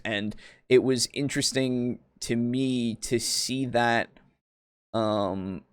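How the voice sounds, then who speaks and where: A man's voice: fairly low pitch, dry recording. A man co-hosting a podcast, casually discussing roleplaying games in a hesitant, rambling way, as if thinking aloud. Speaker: podcast co-host.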